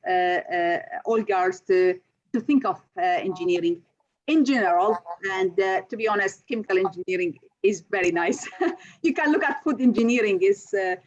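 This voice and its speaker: A woman from Syria, a chemical engineering lecturer, answering interview questions with many hesitant fillers. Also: medium pitch. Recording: slightly dull recording.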